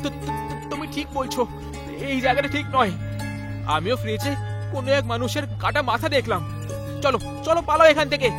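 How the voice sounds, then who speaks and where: Horror story part, played by a man: frightened young man, stammering, high and panicky, loud music underneath. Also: brisk. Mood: panicked. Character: terrified young man in a haunted house. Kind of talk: audio horror story acting.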